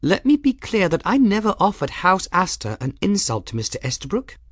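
A man acts out a proud young woman from an audiobook. The voice sits high and moves with lively intonation. Every word is cool, formal and exact, with open defiance. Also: moderate pace, dry recording, studio quality, exaggerated voice. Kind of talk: audiobook character voice.

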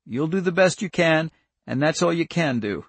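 A man's voice: fairly high pitch, expressive, normal speed, gravelly, slightly rough, no echo, pitched above the performer's own voice, heavily acted. A man voicing a wounded older farmer in an audiobook story, plainspoken, stern and stubborn, with folksy country talk. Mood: stern, earnest. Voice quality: breathless.

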